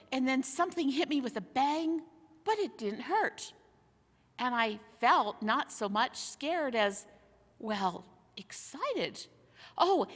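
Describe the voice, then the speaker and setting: A woman voicing an eager, wondering schoolboy adventurer in a storybook, speaking haltingly. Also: sits high, exaggerated voice. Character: young Edmund, excited, wondering schoolboy adventurer. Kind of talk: storybook character dialogue. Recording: hall-like long reverb.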